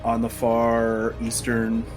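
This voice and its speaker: A man's voice: medium pitch, completely flat delivery, slow pace, music in the background. A man talking casually as a tabletop game player, with a dry, wry manner.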